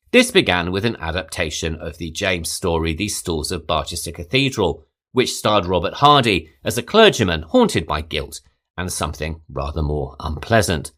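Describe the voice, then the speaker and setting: A very low-voiced man delivers a scripted film review, his delivery lively and rising and falling in pitch. He sounds measured and articulate, with a light touch of opinion. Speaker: podcast host.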